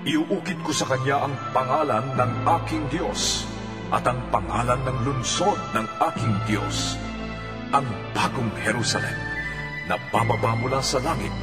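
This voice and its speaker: A Filipino man playing a solemn, commanding divine lord in a scripture audio drama, speaking in a measured, declamatory way. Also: mid-range voice, lightly acted. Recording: echoey long reverb under loud music.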